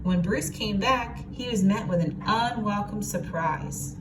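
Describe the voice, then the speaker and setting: A woman reading a picture book aloud to children in an expressive, teacherly way, with asides and questions. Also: mid-range voice, unhurried. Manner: expressive, explains words and asks listeners questions. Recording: noisy, distant microphone.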